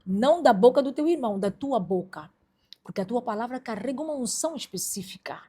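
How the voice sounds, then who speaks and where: A woman's voice: on the high side, expressive, brisk, dark tone, husky. Woman podcast host, exhorting and emphatic. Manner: exhorting, emphatic, addresses listeners directly. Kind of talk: religious podcast introduction with preaching exhortation.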